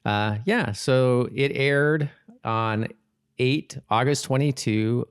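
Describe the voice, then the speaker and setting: A man's voice: mid-range voice, slow, clear, resonant tone, no echo, clean studio sound. A man co-hosting a podcast in casual conversation, rambling easily and correcting himself as he goes. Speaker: podcast host. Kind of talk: casual rewatch podcast chat.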